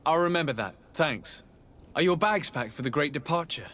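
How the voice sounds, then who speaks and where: An actor playing a polite, composed young nobleman in a video game, formal and measured. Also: fairly high pitch, lively intonation, a bit bright, a little hiss or hum. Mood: friendly. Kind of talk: video game character acting.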